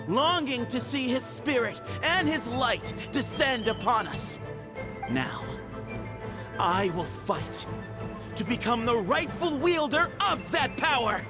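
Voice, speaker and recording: A man's voice: very high voice, lively intonation, slow, over music, heavily acted. A man playing a confident, earnest young heir and challenger in a video game, self-assured and solemn. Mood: solemn, resolute.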